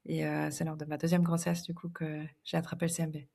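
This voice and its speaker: A woman in her thirties from Switzerland speaks as a podcast guest in a reflective way, with some fillers. Her voice is somewhat low and mellow. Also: level tone, quick pace, creaky. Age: 30s. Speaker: guest, association co-founder. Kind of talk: casual podcast interview.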